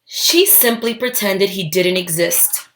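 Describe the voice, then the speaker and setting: A woman reading a children's story aloud, expressive and emphatic, with deliberate phrasing. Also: medium pitch, heavy background noise.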